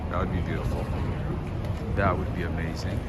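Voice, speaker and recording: A man's voice: low voice, steady tone, fairly quick. A man hosting a live walking tour, chatting casually and reading viewer comments aloud as he walks outdoors. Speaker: livestream walking tour host. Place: outdoors on busy city streets. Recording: loud street noise from outdoors.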